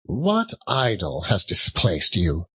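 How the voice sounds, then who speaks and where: A man's voice: low-pitched, huge pitch swings, slow pace, bright, forceful tone, breathy edge, exaggerated voice. A man voicing a defensive suitor in the prime of life in a storybook reading, insistent and questioning. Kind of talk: dramatic audiobook reading of a classic story.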